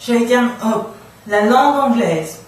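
A middle-aged woman from the Democratic Republic of the Congo telling personal stories casually as an interview guest, in an animated way. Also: fairly high pitch, moderate pace. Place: small radio studio room, indoors. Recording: very echoey room, distant, faint noise.

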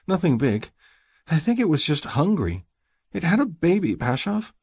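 Guy doing a scared young mom for an audiobook, pitched way up, trembly and breathy, soft hearted. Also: big pitch swings, moderate pace, no echo, heavily acted. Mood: shaken, reassuring. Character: frightened young mother, shaky but compassionate woman. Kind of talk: audiobook character dialogue.

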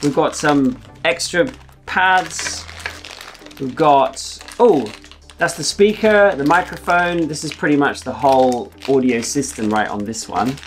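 Higher-pitched young man, enthusiastic. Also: animated, a little slow. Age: young adult. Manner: enthusiastic, exclaiming. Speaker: travel vlogger. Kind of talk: casual chat and explaining to camera. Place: wood-panelled living room.

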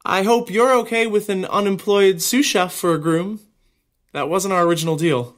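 A man's voice: high-pitched, fairly even intonation, moderate pace, lightly acted. Man acting a tender, teasing young American groom. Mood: playful, caring. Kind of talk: naturalistic audio drama acting.

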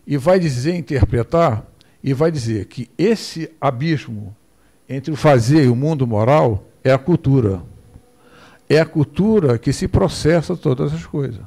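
An older Brazilian lecturer speaks from a podium microphone in an indoor hall, his voice mellow and dark. He wanders into asides and keeps asking for the next slide. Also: on the high side. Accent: Brazilian Portuguese. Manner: digressive, asks for the next slide. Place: hall with projector screen, indoors.

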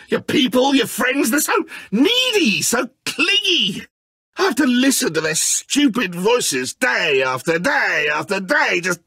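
Casting: petulant British godlike game villain, sarcastic and theatrical, hugely swooping pitch, slow deliberate delivery. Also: male voice, bright, forceful tone, breathy edge, dry recording, clean studio sound, heavily acted. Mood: bitter, complaining. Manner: theatrical, sarcastic, exclaiming and stammering. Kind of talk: video game character acting.

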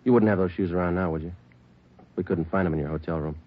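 A relentless police detective with a very low voice, firing off clipped, matter-of-fact questions at a brisk pace. The sound is dull and lo-fi, like an old radio crime drama. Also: male voice, steady tone. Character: dogged male police detective, calm and relentless. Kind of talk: 1940s radio acting. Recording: lo-fi 1940s radio broadcast.

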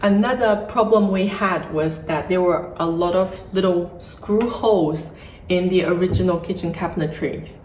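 A woman's voice: on the low side, moderate pace, a little mellow, recorded from a distance, slight background noise. Woman touring her echoey renovated kitchen. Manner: explanatory, pointing things out as she walks. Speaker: property flipper showing a renovation. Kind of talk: casual home tour walkthrough. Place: bright empty renovated kitchen, indoors. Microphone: phone camera held a few feet away. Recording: echoey room, voice a bit off-mic.

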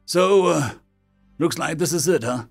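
A man's voice: fairly high pitch, a little slow, creaky, faint music behind, pitched above the performer's own voice. A man voicing a cheerful young male otter lieutenant, awed by space. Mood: wistful.

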